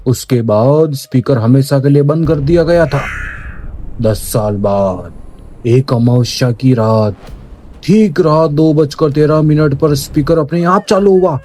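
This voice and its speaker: A man's voice: a little high, a little slow, warm tone. A man giving dramatic, scripted horror narration in an ominous, suspenseful manner, with music behind.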